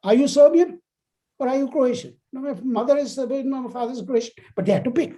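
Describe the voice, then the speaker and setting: A man giving an academic lecture and answering questions over an online call, speaking in a measured, emphatic, explanatory way. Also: very high voice, mellow. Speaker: guest lecturer. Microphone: online video call.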